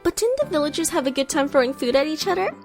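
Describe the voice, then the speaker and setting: A woman's voice: high-pitched, big pitch swings, fast talker, over music. A woman acting a bubbly, self-important yet motherly goddess in a fan radio play, theatrical and playful. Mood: gently encouraging.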